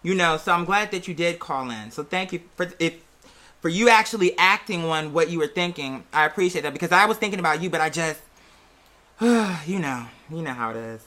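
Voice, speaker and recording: A man with a fairly high, very bright voice talks in a casual, affectionate way. He sounds earnest and circles back over his phrases to stress them, like a host speaking to his followers. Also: lively intonation, brisk. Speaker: vlog host.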